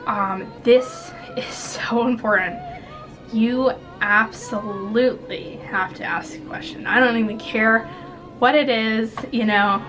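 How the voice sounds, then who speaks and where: A woman's voice: fairly high pitch, steady tone, slow pace, rough recording. Chatty young woman with music. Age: 20s. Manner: chatty, rambling, giggly asides. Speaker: vlogger giving interview tips. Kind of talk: casual advice to camera. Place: small indoor room at home.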